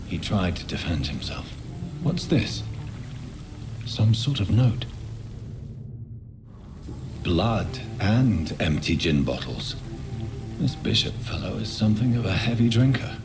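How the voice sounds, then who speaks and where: An actor voicing a brooding, determined doctor turned vampire in a video game, with a terse, grave delivery. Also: male voice, fairly low pitch, expressive, slow, pauses often. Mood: grave, musing. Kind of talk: scripted game dialogue and monologue. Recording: music under the voice.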